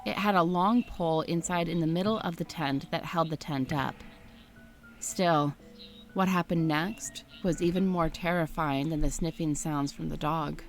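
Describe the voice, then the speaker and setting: A woman reading a first-person story aloud in a steady, scripted narration style. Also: low-pitched, moderate pace, soft background music.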